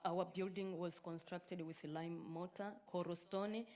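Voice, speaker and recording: A woman from Tanzania whose first language is Swahili, giving a conference talk in a halting way with many filler sounds. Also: lowish voice, steady tone, darkish tone. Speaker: conference speaker. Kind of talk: conference presentation.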